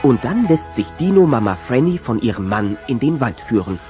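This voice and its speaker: A man's voice: medium pitch, very animated, normal speed, natural voice. A man narrating a German children's audio play in a dramatic storytelling style. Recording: muffled old cassette with music.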